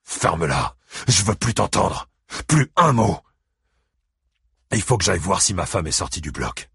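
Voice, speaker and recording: A man's voice: sits low, sing-song, unhurried, gravelly, fairly bright tone, slightly rough, dry recording, studio quality, full character voice. A man voicing a furious, bitter, vengeful father who spits out harsh accusations in an audiobook novel. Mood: cold fury.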